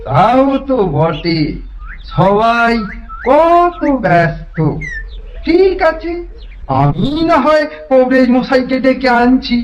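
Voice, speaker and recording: A man's voice: very high-pitched, animated, slow, dull audio, a little hiss or hum, full character voice. A man playing a kind, meek, sorrowful hunchbacked villager in a fairy tale, speaking humbly and plaintively. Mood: humble, obliging. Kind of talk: animated fairy-tale voice acting.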